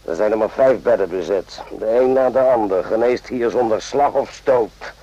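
An actor playing an irritable, suspicious army medic in a radio drama, with a gruff, interrogating manner. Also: male voice, on the low side, moderate pace, mellow, natural voice. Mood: brooding, suspicious. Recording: dull lo-fi radio play recording.

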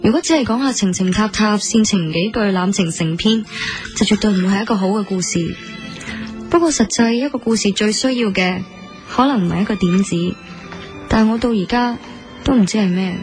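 女演員扮演講香港粵語嘅斯文後生女學生侍應，背景有音樂. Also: female voice, medium pitch, brisk, natural voice. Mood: earnest, thoughtful. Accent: Hong Kong Cantonese. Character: bright, modest young student waitress who writes stories. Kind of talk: radio drama acting. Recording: music bed under the voice.

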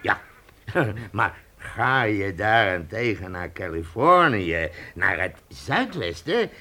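A man's voice: fairly low pitch, very animated, slow pace, sharp tone, heavily acted. An actor playing a hearty, domineering British trading-post chief in a radio drama, emphatic and chuckling as he warns settlers. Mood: urgent persuasion.

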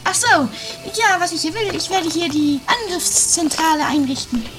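Very shrill, scatterbrained bossy woman guru. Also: animated, normal speed, music in the background. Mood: self-important. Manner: stammering, flustered, then commanding. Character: scatterbrained, bossy female great guru, Doris. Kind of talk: improvised campy radio-play comedy. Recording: noisy 1980s home-made radio play.

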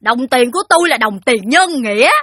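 A shrill, sharp-voiced loan-shark madam. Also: female voice, expressive, fairly quick, no room sound, studio quality. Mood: shrewish, indignant. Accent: Southern Vietnamese. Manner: sneering, shrewish, haughty scolding. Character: fat, shrill, sour loan-shark madam, crude and bullying. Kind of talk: audio story drama dialogue.

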